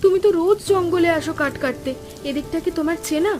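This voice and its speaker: A woman's voice: very high voice, fast, darkish tone. A woman playing a fretful village wife and mother in a cartoon, worried and dramatic. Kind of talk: cartoon voice acting.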